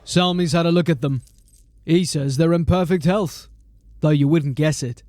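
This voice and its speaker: A man's voice: a little high, normal speed, no room sound, close to an everyday voice. A man playing a blunt, weary watch sergeant. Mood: steady, grim. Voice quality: plain-spoken, grim and steady. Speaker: voice actor. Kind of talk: audio drama acting.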